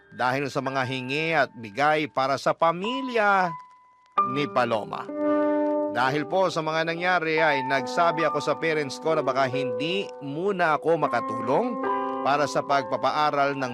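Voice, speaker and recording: A man reading a first-person letter as scripted radio storytelling, earnest and confiding. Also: on the high side. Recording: loud music under the voice. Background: prominent background music.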